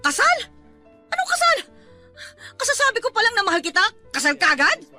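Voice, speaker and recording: A woman's voice: very high voice, sing-song, fairly quick, pushed and bright, heavily acted. A woman playing a tough-talking tomboy young mother, blunt and emotional, reluctantly in love, in a Filipino radio-style romance drama. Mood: flustered protest. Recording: music under the dialogue.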